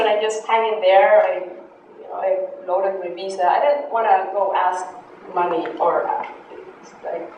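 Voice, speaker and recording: A woman's voice: lowish voice, dark tone, slight background noise. A woman in her thirties from the Philippines, whose first language is Filipino, telling a personal story in a rambling way with many fillers, restarts and laughs. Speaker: military member giving a workshop talk. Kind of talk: personal anecdote speech. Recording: distant, dull, echoey room recording.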